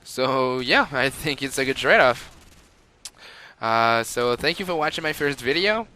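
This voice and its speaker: Bright-voiced French Canadian gamer. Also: male voice, medium pitch, expressive, measured pace, slight background noise. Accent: Quebec French. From Montreal, Canada. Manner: rambling and chatty, with frequent fillers and laughs. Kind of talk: gameplay commentary.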